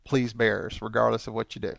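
A man's voice: on the low side, level tone, brisk, vocal fry, no room sound. A man co-hosting a podcast, teasing and dry, losing his voice. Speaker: podcast co-host. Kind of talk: casual chat.

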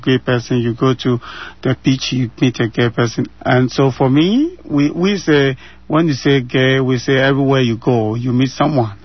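A man's voice: medium pitch, dry recording. A Ghanaian man in his thirties answers interview questions with earnest conviction, hammering his points home by repeating phrases. His voice sits mid-range for a man. The sound is a little dull, as if it comes over a remote line from his home. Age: 30s.

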